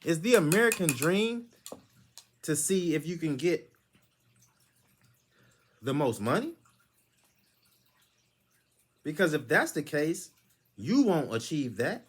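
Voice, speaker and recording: A man's voice: a little high, big pitch swings, measured pace, pauses often, husky. A man hosting his own channel, giving a lecturing monologue that is full of rhetorical questions. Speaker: channel host. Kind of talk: preachy monologue commentary.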